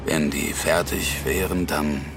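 An actor playing a laconic, world-weary American man who confides haltingly in intimate radio drama. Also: rumbling low, steady tone, gravelly, background noise, heavily acted. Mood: resigned. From New York, United States.

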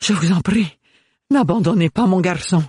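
A woman's voice: mid-range voice, normal speed, a little mellow, husky, dry recording, studio quality, pitched above the performer's own voice. A man doing a shaken, desperate noblewoman. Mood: pleading. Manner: pleading, trembling, toneless.

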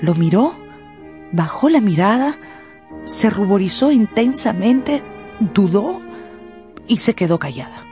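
A woman narrates a scripted radio drama. Her voice is warm and dark in tone, set at a middle pitch. Faint music runs behind the storytelling. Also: sing-song, measured pace, long pauses.

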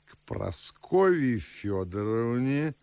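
Pompous, swooping old gentleman. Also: mid-range voice, very animated, clear, resonant tone, no room sound. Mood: pompous musing. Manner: declamatory, drawn-out, swooping delivery with sighs. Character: pompous, fussy, grumbling older Moscow father and official. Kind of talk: theatrical audio drama in verse.

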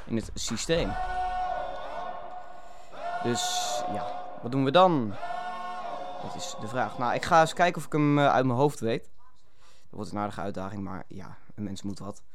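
A man's voice: medium pitch, animated, measured pace, pauses often. Hesitant male radio presenter, chatting casually. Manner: hesitant, muttering to himself. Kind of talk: casual improvised live radio chat.

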